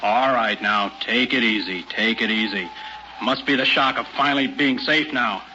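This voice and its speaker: Forceful army sergeant. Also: male voice, medium pitch, normal speed. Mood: calming. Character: obedient male army sergeant, urging and calming. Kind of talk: old time radio drama acting. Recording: dull lo-fi radio recording, faint noise.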